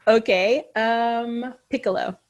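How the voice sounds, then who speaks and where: A woman's voice: on the high side, steady tone, takes it slowly, dull audio. A middle-aged woman hosting a casual, chatty interview. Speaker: interview host. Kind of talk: casual chat.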